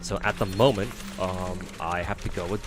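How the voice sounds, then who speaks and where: Lowish-voiced profane male gaming streamer. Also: steady tone, moderate pace. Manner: rambling, profane, lots of "like" fillers. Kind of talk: casual livestream chat while playing. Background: game music.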